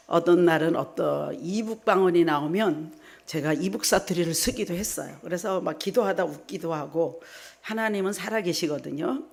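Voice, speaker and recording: A middle-aged woman from South Korea, a preacher giving a personal testimony at a revival meeting in an animated storytelling manner. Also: fairly low pitch, gravelly. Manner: animated storytelling, re-enacts her own prayers in direct speech.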